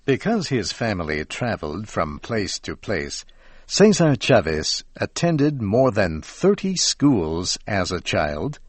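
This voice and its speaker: American man, educational narrator, very slow measured reading, lively intonation, careful enunciation. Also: medium pitch, no echo. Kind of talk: slow scripted educational narration for English learners.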